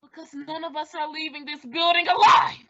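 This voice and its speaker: Deranged young murderer played by a woman, high-pitched and forceful, melodramatic, menacing, old-time radio villainy. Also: steady tone, brisk, muffled recording. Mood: defiant, triumphant.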